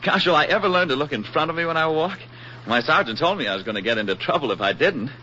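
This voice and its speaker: Breezy, animated young soldier. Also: male voice, on the high side, fast talker. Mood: apologetic. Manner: folksy, eager, talkative. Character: affable, breezy young army private on furlough. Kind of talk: 1940s radio drama acting. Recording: muffled, noisy old 1940s radio recording.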